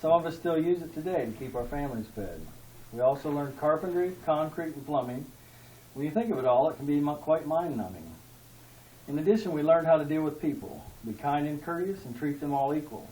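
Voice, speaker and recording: A man's voice: on the high side, expressive, fairly quick, mellow. A man earnestly reading a rhyming tribute poem aloud at a party, as an amateur. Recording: echoey room, distant mic.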